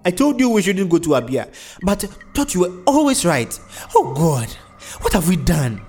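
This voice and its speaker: A man's voice: mid-range voice, big pitch swings, fast talker, mellow, faint music behind, close to an everyday voice. A man voicing a distraught Nigerian wife and mother in an audiobook, tearful and anguished. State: tearful, wailing.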